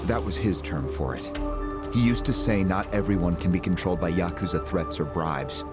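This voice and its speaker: An actor playing a smug, calculating political schemer who explains things at length in a video game cutscene. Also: male voice, low voice, moderate pace, over loud music, lightly acted. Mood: smug, lecturing. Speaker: character in a crime drama video game.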